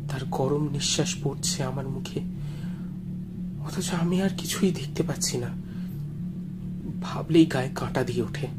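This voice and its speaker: A man's voice: medium pitch, normal speed, pauses often. Man narrating suspense over music. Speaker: story narrator. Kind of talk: dramatic first-person audio story narration. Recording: music behind the voice.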